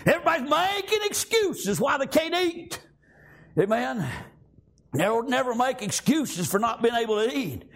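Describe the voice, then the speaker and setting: A man preaching a fiery revival sermon in a church, shouting and dotting his lines with "praise God" and "amen.". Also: very high voice, very animated, moderate pace, gravelly.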